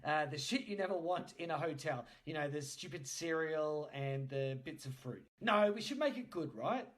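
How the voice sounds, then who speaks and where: A man's voice: on the high side, expressive, moderate pace, fairly bright tone. Casual, joking male podcast host. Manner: jokey, bantering, prone to chuckling.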